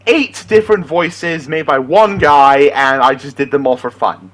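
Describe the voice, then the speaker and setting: A man's voice: on the high side, measured pace, a bit bright. A man chatting casually and informally to viewers as an amateur impressionist. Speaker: amateur voice impressionist, video creator.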